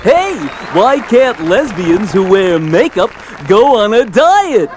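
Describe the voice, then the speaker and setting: An actor playing a crude, gleeful stand-up comic delivering a joke in a noisy crowd. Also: male voice, high-pitched, big pitch swings, slow, music in the background, heavily acted. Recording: noisy room with crowd behind.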